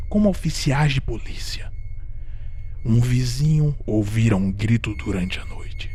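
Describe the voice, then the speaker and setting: A man acting an unhinged Brazilian murderer, slow-paced. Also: mid-range voice, natural voice. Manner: theatrical, intense, swinging from hushed to shouting. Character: guilt-ridden murderer, unhinged, increasingly frantic, confessing. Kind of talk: dramatic audio drama narration of a gothic horror story.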